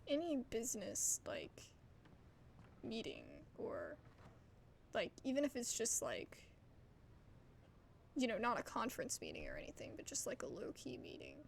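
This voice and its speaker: A woman gives casual game commentary in a fairly high, wildly swinging voice. She drops into wry, muttered asides with plenty of vocal fry. There is faint rumbling noise behind her. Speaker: gaming video host. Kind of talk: casual playthrough commentary, reacting to dialogue.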